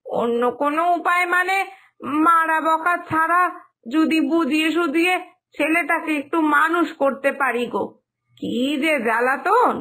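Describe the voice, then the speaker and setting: A woman's voice: extremely high pitch, measured pace, pushed and bright, exaggerated voice. A woman voicing a troubled village mother in a cartoon moral story, confiding in a plaintive way.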